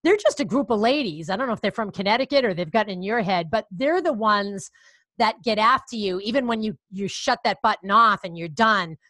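A woman's voice: mid-range voice, expressive, a little fast, a bit bright, dry recording. Chatty Boston-accented woman host. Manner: upbeat, chatty, encouraging. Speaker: podcast host. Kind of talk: casual interview chat.

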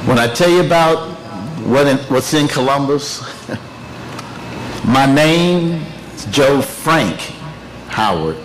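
Older man, slow and deliberate, emotional and halting, recorded over outdoor noise. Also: mid-range voice, animated, low-quality recording. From Tennessee, United States. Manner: emotional, halting, repeats phrases, laughs through tears. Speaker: artist unveiling his work. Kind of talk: emotional public speech.

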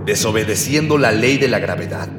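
A man's voice: lowish voice, lively intonation, brisk, noisy background, lightly acted. A man playing a grandiose narrator of a pulp space serial, pompous and florid, in mock-heroic radio style. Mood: pompous, dramatic. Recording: echoey long reverb, music behind.

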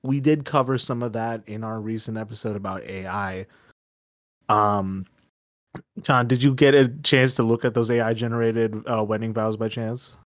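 A man's voice: fairly low pitch, steady tone, normal speed, dry recording, studio quality. A man hosting a podcast, steering a casual group discussion and sometimes reading from notes. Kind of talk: casual chat with some scripted reading.

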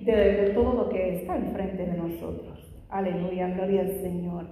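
A woman's voice: on the low side, steady tone. A woman preaching a Bible study in Spanish, her second language, sprinkling in frequent hallelujahs and praises, fervent in prayer. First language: not Spanish. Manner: frequent "aleluya" and "gloria a Dios" interjections; fervent prayer, louder and faster. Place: large echoey church hall. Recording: echoey hall with long reverb.

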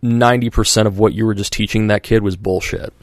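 A man's voice: on the low side, fairly even intonation, fast talker, dry recording. A man hosting a podcast in casual chat, rambling with many fillers and restarts and acting out quoted voices. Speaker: podcast host.

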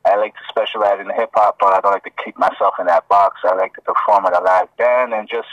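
A man's voice: lowish voice, fairly even intonation, fast talker, dry recording. A man who grew up in Toronto, a rapper guest co-hosting a podcast over the phone and chatting in an easy, friendly way with plenty of fillers. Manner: laid-back, friendly, lots of fillers. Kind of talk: casual podcast chat. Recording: distant-sounding phone call.

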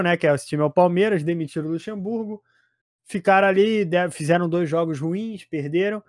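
High-voiced Brazilian man talking football. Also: no echo, studio quality. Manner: animated, slangy, emphatic, repeats phrases. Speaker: football podcast commentator. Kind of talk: casual football chat.

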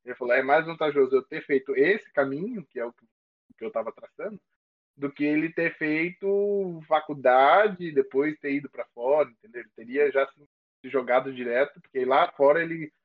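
A man's voice: on the high side, moderate pace, lo-fi sound. A man with a Brazilian Portuguese accent, a chef guest chatting casually on a podcast, rambling and correcting himself with a playful streak.

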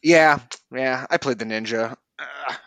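A man's voice: medium pitch, unhurried, creaky, fairly bright tone, dry recording. A man playing a clueless, chatty male office coworker in a comedy sketch, rambling and tactless. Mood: eager.

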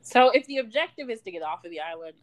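A young woman from Chicago hosts a casual fan podcast, bubbly and quick to laugh. Her voice jumps all over in pitch, from playful squeals to low asides, and stays mid-pitched. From Chicago, Illinois. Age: 20s. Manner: bubbly, laughs a lot, animated swings in pitch and volume. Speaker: podcast co-host. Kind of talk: casual chat.

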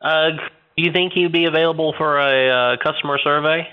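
A man in a friendly, mock-official manner plays a corporate staffer running a survey by phone. His slightly high voice comes through dull and lo-fi. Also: fairly even intonation, brisk, smooth. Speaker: prank caller posing as corporate staff. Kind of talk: prank phone call. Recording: dull, lo-fi sound.

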